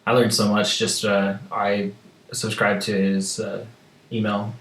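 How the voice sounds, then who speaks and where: A man hosting a podcast, chatting casually and chiming in with quick agreement. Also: lowish voice, little pitch movement, gravelly, fairly bright tone, roomy sound, distant mic, slight background noise, poor audio. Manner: agreeable, short backchannel replies. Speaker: podcast host. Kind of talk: casual chat.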